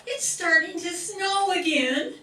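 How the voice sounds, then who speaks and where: A woman's voice: very high-pitched, takes it slowly, pushed and bright, faint music behind, full character voice. A woman playing a whiny, sulky teenage daughter in an old-time radio comedy. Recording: roomy, distant mic, faint noise.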